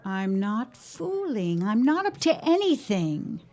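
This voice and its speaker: An actress playing a doting, gently wry middle-aged 1910s mother in an audio drama, coaxing as she talks. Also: on the high side, big pitch swings, takes it slowly. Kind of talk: period audio drama acting.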